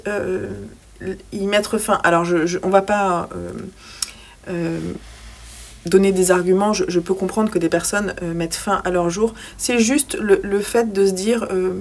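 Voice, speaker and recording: A young woman talking through a poetry book she read, hesitating often with fillers and restarts, and now and then reading passages aloud. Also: medium pitch. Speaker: book vlogger. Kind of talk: casual book review with read-aloud poems.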